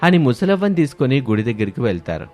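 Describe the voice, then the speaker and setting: A man's voice: medium pitch, big pitch swings, fast, darkish tone. A man narrating a scripted moral story. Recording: music behind the voice.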